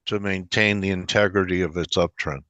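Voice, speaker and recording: A man's voice: low voice, fairly even intonation, normal speed, fairly bright tone, no echo, dull audio. A man hosting a conversational financial interview, putting questions and his own views to a guest. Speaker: interviewer, host.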